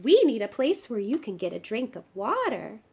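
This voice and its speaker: A woman's voice: a little high, wildly swooping pitch, moderate pace, breathy edge, highs cut off, heavily acted. A woman voicing a happy, eager young girl in a storybook, with a sweet, wondering manner. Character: happy, eager young girl who loves her garden.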